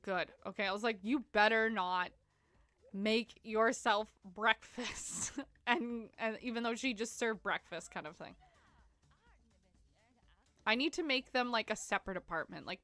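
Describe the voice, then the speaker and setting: A young woman streaming a game, her voice stuffy and congested from a cold. She chats casually, giggles often and fills gaps with ums and likes. Her tone is bright and forward, and the sound is close and dry. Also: mid-range voice, dry recording. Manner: chatty and giggly, many fillers. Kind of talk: casual live-stream gameplay chat.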